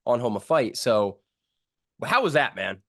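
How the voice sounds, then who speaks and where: A man's voice: medium pitch, big pitch swings, unhurried, fairly bright tone. Slangy man hosting a sports interview. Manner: slangy, informal, rambling questions. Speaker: sports show host interviewing a fighter. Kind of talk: casual interview.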